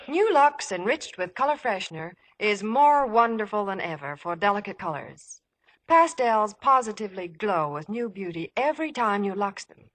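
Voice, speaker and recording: Lively 1950s radio saleswoman. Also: medium pitch, moderate pace, no echo, poor audio. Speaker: radio sponsor spokeswoman. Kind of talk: scripted 1950s radio advertising patter.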